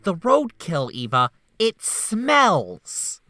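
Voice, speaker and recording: A man's voice: drawn-out words, no room sound, heavily acted. A man voicing a sarcastic, blunt male doctor in a fan dub of a game. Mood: excited. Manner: sardonic and blunt, lively swings in pitch. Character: sarcastic, scheming male memory-doctor, middle-aged. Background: faint music.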